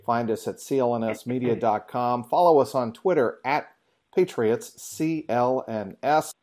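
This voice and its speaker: A man hosts a sports podcast. His voice sits at an average pitch for a male speaker. He talks in an upbeat, conversational way, as if introducing a show and chatting with a guest. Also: a little slow, darkish tone. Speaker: podcast host.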